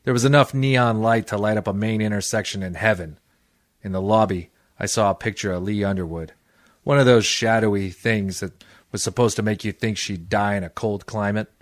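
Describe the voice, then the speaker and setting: A man playing a hardboiled, cynical private detective in 1940s noir radio style, terse, tough and deadpan. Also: fairly low pitch, moderate pace, no room sound, studio quality, close to an everyday voice. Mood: deadpan narration.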